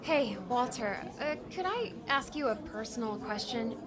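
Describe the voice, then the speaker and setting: A woman's voice: a little high, lively intonation, a little slow, a crowd in the background. A woman playing a friendly, slightly hesitant young woman in an audiobook drama, talking in a casual, tentative way.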